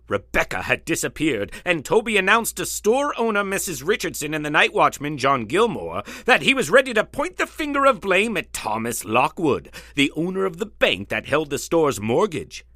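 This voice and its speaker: A melodramatic radio serial announcer. His pitch leaps and plunges theatrically on every key phrase. The voice is bright and forceful with a slight rasp, recorded close and dry. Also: very animated, moderate pace, few pauses, no echo. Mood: grandiose recap. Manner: grandiose, dramatic emphasis. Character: booming melodramatic male announcer of a mystery serial. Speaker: narrator of an audio mystery serial. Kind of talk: theatrical old-time radio serial narration.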